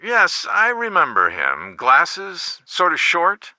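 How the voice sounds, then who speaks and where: An actor playing a caring, cautious middle-aged father in a video game, with a measured, advisory manner. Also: a little high, huge pitch swings, bright, forceful tone, no echo, full character voice. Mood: advising. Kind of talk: scripted game voice acting.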